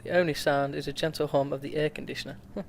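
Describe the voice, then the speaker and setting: A man's voice: a little high, fairly even intonation, fast, vocal fry, a little hiss or hum. A man hosting a let's play, reading a visual novel aloud with stumbles, self-corrections and laughs.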